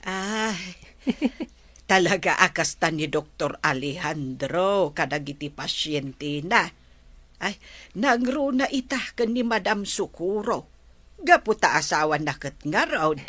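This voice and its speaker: A woman playing a caring grandmother in an Ilocano radio drama, gentle and explanatory, with an Ilocano accent. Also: fairly low pitch, animated, takes it slowly, no echo, heavily acted. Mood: fond, teasing. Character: caring, slow-spoken grandmother of a blind student.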